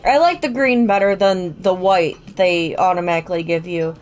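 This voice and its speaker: A woman's voice: lowish voice, moderate pace, darkish tone. Chatty, swearing young woman streamer. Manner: chatty, swearing, laughing asides. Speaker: streamer playing a game. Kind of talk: casual live-stream chat. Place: home, indoors.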